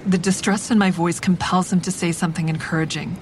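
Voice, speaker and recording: A woman's voice: steady tone, fast talker, lightly acted. A woman playing a cool, calculating narrator who tells her own story in a wry, controlled way in an audio drama. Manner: wry, controlled, matter-of-fact. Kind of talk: scripted first-person drama narration. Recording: faint traffic rumble behind.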